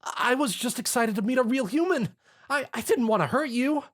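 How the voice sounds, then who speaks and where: An excitable young female pony character for a story, acted out by a man. The voice sits high and jumps around with eager, gushing delivery. It is giddy and quick to apologize. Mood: tearful apology.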